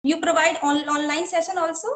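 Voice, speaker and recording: A woman in her thirties with an Indian accent, hosting a casual interview in halting learner's English. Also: very high voice, fairly even intonation, far from the mic. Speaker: interviewer, YouTube host. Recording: roomy, echoey sound.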